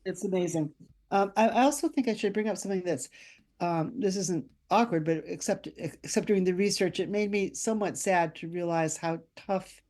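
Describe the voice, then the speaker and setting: Female interview guest, author. Low-pitched, mellow voice, halting reflective storytelling.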